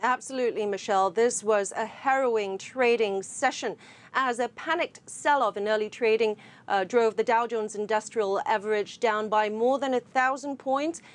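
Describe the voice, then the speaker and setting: Female news reporter, fairly high voice, polished emphatic delivery, broadcast business report, some background sound. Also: moderate pace. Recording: music under voice, some location noise.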